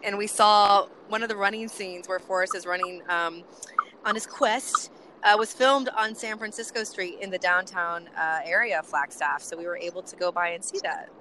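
A woman co-hosting from a car, bubbly and rambling, her voice worn low from chanting. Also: fairly even intonation, normal speed, background noise. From US West Coast. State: voice getting lower from chanting. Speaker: co-host. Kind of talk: casual co-host chat, road trip update.

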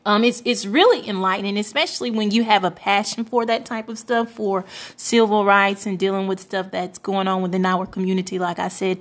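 A woman's voice: medium pitch, fairly bright tone. Earnest young woman, church first lady. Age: 20s. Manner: earnest, hesitant with many fillers. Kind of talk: interview answer, casual and reflective.

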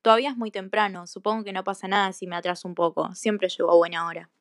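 A woman's voice: mid-range voice, flat delivery, fast, no room sound, natural voice. Naive, polite storybook girl, played by a woman, radio play, dry recording. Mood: carefree. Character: innocent, trusting young girl of a fairy tale. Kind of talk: school radio play acting.

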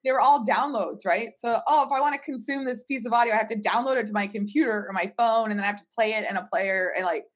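A woman's voice: a little high, fast talker, hardly any pauses. A woman talking casually in an interview as a startup team member, retelling things informally. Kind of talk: casual conversational explanation.

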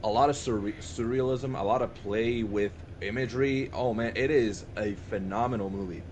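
A man's voice: on the low side, normal speed, a little mellow, muffled recording, a little hiss or hum. A young man chatting casually and enthusiastically with friends as a podcast co-host, often restarting and repeating himself mid-thought. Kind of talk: casual chat among friends.

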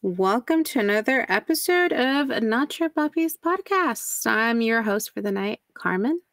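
A young woman with a Texan accent hosting a casual podcast chat, self-mocking and quick to laugh. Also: fairly high pitch, lively intonation, a bit bright, no room sound, clean studio sound. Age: young adult. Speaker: podcast host.

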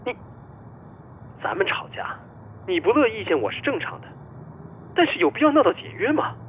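A man's voice: high voice, huge pitch swings, normal speed, phone-quality sound, slight background noise. A man playing a possessive boss and obsessive spurned lover in a melodramatic Chinese audio drama. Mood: frustrated, reproachful.

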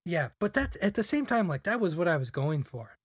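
A man's voice: high-pitched, very animated, fairly quick, husky, no room sound. Young man rambling casually, dry mic. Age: young adult. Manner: rambling, lots of "like" fillers. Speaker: podcast guest. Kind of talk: casual chat.

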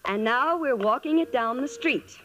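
An actress playing a sensible, exasperated young woman in an old-time radio comedy, wry and brisk in delivery. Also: high-pitched, animated, lo-fi sound. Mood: wry narrating. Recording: old radio recording, faint noise.

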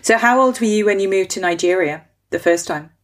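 A woman's voice: medium pitch, fairly quick. A woman hosting a podcast, chatty and upbeat, joking and laughing easily as she interviews a guest. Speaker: podcast host. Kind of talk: casual conversational interview.

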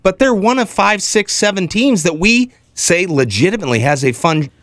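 A man's voice: sits high, animated, normal speed, a bit bright, no room sound. A man co-hosting sports radio, debating casually and emphatically, often repeating himself, close on a studio microphone. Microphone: close studio broadcast microphone.

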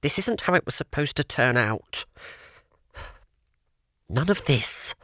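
Man voicing a young boy, whiny, frightened, bright, high. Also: lively intonation, slow, no room sound, studio quality. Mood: upset, whiny. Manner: complaining, upset. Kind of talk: audiobook character voice.

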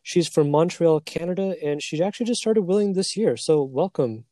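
A man hosting a casual podcast, relaxed and easygoing as he introduces the guest. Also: fairly high pitch, moderate pace, no room sound, studio quality. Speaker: host.

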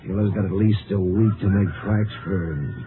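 An actor playing a folksy, doubtful Texas sheriff in a 1950s radio drama, with a drawl. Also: male voice, sits low, fairly even intonation, normal speed, lightly acted. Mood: discouraged. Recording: noisy, muffled old radio recording.

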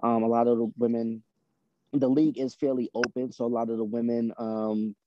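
A man's voice: medium pitch, level tone, fairly quick, lo-fi sound, a little hiss or hum. A man who grew up in Boston, a basketball coach, giving casual, conversational answers as a podcast guest. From born in Trinidad, grew up in Boston from age six. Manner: conversational, frequent "you know".

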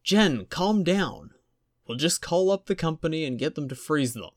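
A man voicing a calm, reassuring young man. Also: on the high side, moderate pace, no room sound, clean studio sound, voice pushed up, close to an everyday voice.

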